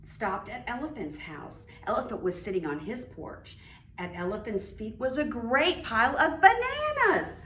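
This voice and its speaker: A woman reading a children's story aloud in an expressive way, with dramatic pauses and drawn-out key words. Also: on the low side. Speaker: library storyteller reading a picture book to children. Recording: roomy, distant mic with faint noise.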